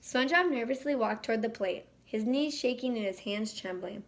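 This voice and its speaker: A woman reading a children's picture book aloud in an expressive, animated way. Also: fairly high pitch, normal speed. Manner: animated, acts out dialogue. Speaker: children's read-aloud storyteller.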